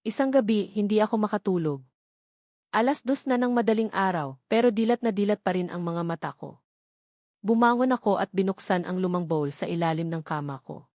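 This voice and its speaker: Female narrator for a romance audio drama, mid-pitched, close dry recording. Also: a little fast, a little mellow, no room sound. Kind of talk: dramatic first-person scripted story narration.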